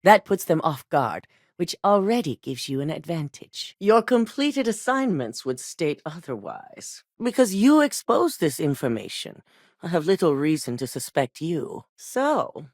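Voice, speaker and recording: An actress playing a ruthless middle-aged female executive in a video game, speaking in a measured, clipped, coldly authoritative way. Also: deep voice, very animated, no echo, studio quality, heavily acted. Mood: stern. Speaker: company boss giving missions.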